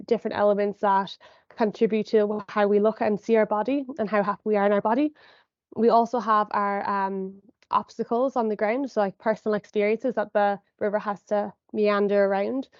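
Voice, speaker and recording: A woman's voice: medium pitch, no room sound. Sniffly woman psychologist on muffled video call. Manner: explanatory, hedging with "I suppose" and frequent ums. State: sniffly, recovering from a cold. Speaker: sport and exercise psychologist presenter. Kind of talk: online educational talk for parents.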